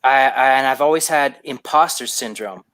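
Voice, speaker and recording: A man being interviewed as a writer, giving thoughtful, explanatory answers in a halting conversational way, repeating words as he forms his thoughts. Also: mid-range voice, fairly bright tone, no echo. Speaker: guest, fiction writer.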